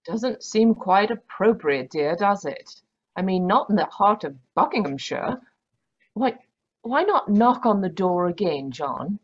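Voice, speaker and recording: Woman playing a lively, swooping Victorian wife, muffled. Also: mid-range voice, a little slow, husky. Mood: uneasy. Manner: polite, fond, proper turns of phrase. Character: genteel, affectionate but gently chiding Victorian doctor's wife. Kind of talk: old-time radio mystery acting. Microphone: video call. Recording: dull, muffled video-call audio.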